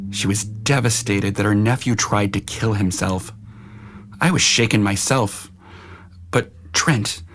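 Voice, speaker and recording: Man acting a gravelly, stern uncle. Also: on the low side. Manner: firm, measured, admonishing. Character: stern, protective uncle, middle-aged husband and father. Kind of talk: audio drama dialogue.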